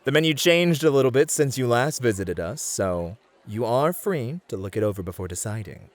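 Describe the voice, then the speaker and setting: A man acting in an ASMR roleplay as a smooth, confident, flirty club host who reassures and charms. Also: medium pitch, very animated, moderate pace, close to an everyday voice. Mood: welcoming, charming.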